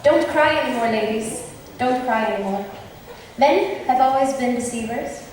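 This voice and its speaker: A woman's voice: on the high side, moderate pace, darkish tone, close to an everyday voice. A woman acting male roles in an amateur school production of a modernized Shakespeare comedy. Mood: reciting. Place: large echoey indoor hall. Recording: very echoey hall from far off, hissy.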